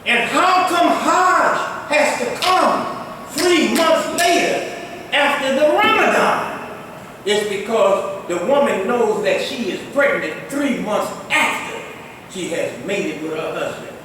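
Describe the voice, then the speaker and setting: A man's voice: animated, slow pace, sharp tone. An older man giving a Friday sermon as imam, preaching with emphatic rising exclamations, his first language not Arabic. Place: large mosque prayer hall. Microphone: distant mic in a mosque. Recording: echoey hall, far from the mic, faint rumble.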